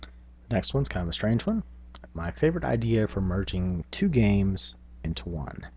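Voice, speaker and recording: Very deep, husky-voiced man. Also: sing-song, normal speed, darkish tone, dull audio. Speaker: tabletop gaming video host. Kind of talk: casual rambling talk.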